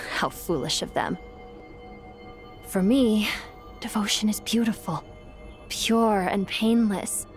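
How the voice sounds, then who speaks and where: An ancient djinn woman, played by an actress, regal and wistful in a video game. Her mid-pitched voice moves through formal, poetic phrases with theatrical devotion, set over clear background music. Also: slow pace, long pauses, natural voice. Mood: serene devotion.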